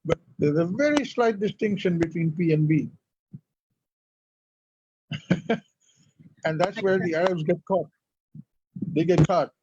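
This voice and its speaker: A man's voice: sits high, slow pace, pauses often, dark tone. A man from India whose first language is Urdu, telling stories as a guest in a conversational interview. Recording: lo-fi, dull sound.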